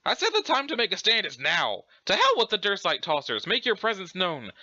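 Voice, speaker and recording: A man voicing a chatty, scholarly, excitable alien troll with an affected British accent and a pompous, wordy manner. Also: sits high, fairly quick, dry recording, lo-fi sound, full character voice. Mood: stirring, jaunty. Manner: pompous, wordy, effusively enthusiastic. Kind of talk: theatrical character reading of a webcomic.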